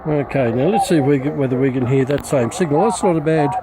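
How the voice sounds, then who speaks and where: Male hobbyist reviewer, middle-aged, halting and informal, recorded outdoors on a camera mic with heavy static behind him. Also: on the high side. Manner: hesitant, unscripted, muttering while working. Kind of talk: informal hands-on demonstration. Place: outdoors in a backyard. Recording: loud radio static and background hiss. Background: shortwave radio noise.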